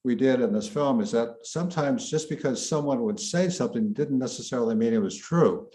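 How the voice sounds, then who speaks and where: Filmmaker man, warm dark tone, measured and deliberate speaker. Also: medium pitch. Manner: measured, searching for words. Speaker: filmmaker, panelist. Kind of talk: panel discussion.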